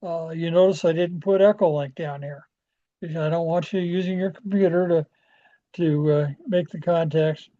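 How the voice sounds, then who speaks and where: Male presenter, mellow and dark, halting folksy delivery for an informal club training talk, slightly muffled lo-fi sound. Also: on the high side, fairly even intonation. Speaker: amateur radio club presenter. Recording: dull, lo-fi audio.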